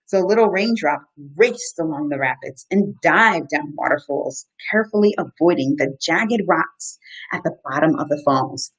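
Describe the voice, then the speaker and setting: A woman in her thirties, an educator reading to children in an animated, questioning way and explaining as she goes. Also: low-pitched, normal speed, breathy edge, rough recording. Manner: animated, asks the listeners simple questions. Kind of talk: interactive children's story time, reading and explaining.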